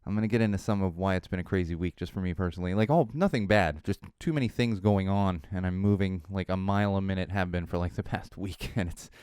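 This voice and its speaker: A man in his thirties from Southern California, hosting a casual solo podcast, a bit hoarse, rambling and self-deprecating, with lots of fillers and restarts. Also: low voice, fast talker, few pauses, darkish tone, no room sound, clean studio sound. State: slightly hoarse. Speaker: podcast host.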